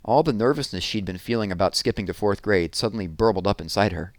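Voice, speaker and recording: Man, low voice, expressive storyteller, children's book read-aloud, dry close sound. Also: fast, no echo.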